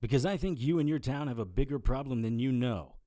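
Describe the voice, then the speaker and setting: A man's voice: medium pitch, brisk, no echo, natural voice. An actor playing a dry, wry male occult investigator who narrates his case in an audio drama. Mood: probing. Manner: deadpan, wry. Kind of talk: first-person detective audio drama narration.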